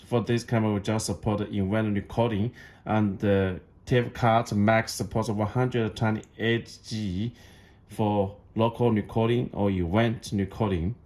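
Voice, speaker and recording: Male product demonstrator, non-native English speaker, lowish pitch, unhurried and halting, walking through setup steps. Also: fairly even intonation, darkish tone. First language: not English. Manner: halting, repeats phrases. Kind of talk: step-by-step tutorial, unscripted.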